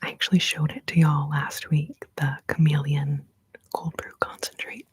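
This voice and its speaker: A woman whispering softly in an ASMR video, playful and chatty. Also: sits low, flat delivery, normal speed, a little hiss or hum. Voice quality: whispered, soft breathy delivery. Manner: playful, giggly, chatty asides between repeated trigger words. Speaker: ASMR host. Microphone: close sensitive mic.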